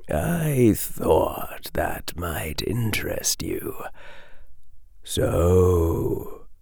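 A man voicing a sinister cloaked male mist being in a slow, dry, sibilant whisper, coaxing and insinuating. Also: deep voice, very animated, gravelly, mellow, breathy edge, no echo, exaggerated voice. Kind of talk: audiobook character voice.

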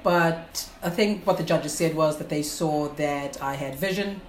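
A woman's voice: low-pitched, a little slow. A woman from South Africa in her forties, a guest speaker who talks thoughtfully, laughs easily and pokes fun at herself. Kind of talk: casual interview. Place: lunch event venue. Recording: roomy, with faint room noise.

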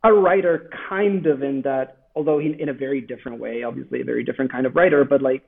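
Italian guy talking over a crackly phone line, gravelly voice, lots of ums and restarts. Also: medium pitch, fast. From Italy. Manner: hesitant, many fillers and restarts. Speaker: novelist guest. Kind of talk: casual interview talk.

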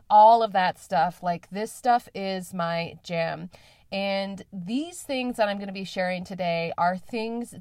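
A woman hosting her own podcast in a bubbly, chatty way, her voice a little raspy today. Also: mid-range voice, no echo, clean studio sound. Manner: bubbly and chatty, with many fillers and repetitions. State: slightly raspy voice. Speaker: podcast host. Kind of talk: solo podcast talk.

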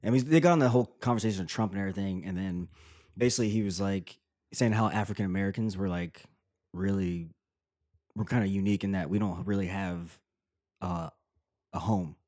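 A man in casual conversation, laid-back, swearing freely and pausing on "uh". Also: low-pitched, darkish tone.

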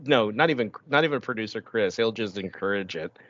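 A man's voice: lowish voice, lively intonation, brisk, a bit bright, dry recording, highs cut off. A man hosting a casual podcast chat, joking and hesitant with stumbling restarts.

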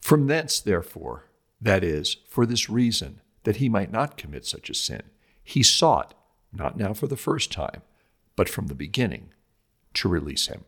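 A man reading a scripted religious commentary like a lecture, with emphatic, measured phrasing and dramatic stress on scripture quotations. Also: on the low side, big pitch swings, fairly bright tone, breathy edge.

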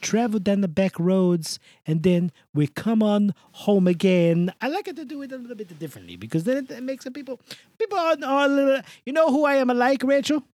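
A sentimental, rambling old-fashioned host, a man doing the bit, slipping a stray "a" before his words. His pitch leaps and falls constantly, and the sound is close and dry with no room in it. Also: very animated, racing, dry recording. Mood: gushing. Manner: slips a stray "a" before words, broken grammar, over-the-top gushing. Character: sentimental, rambling older male host character. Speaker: podcast host. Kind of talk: comedic in-character podcast bit.

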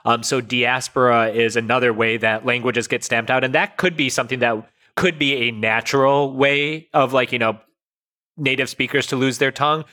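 A man pushing forty, from the United States, talks through ideas in a thoughtful, explanatory way. His voice is bright and forward, with a middle pitch. The tone stays conversational, as in a relaxed podcast chat. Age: late 30s. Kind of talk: casual chat.

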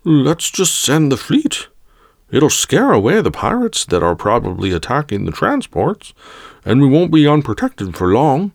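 Man acting young alien council member, raised pitch, confident, insistent, sci-fi storybook. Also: wildly swooping pitch, a little slow, creaky, no room sound, studio quality, exaggerated voice. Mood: eager, confident.

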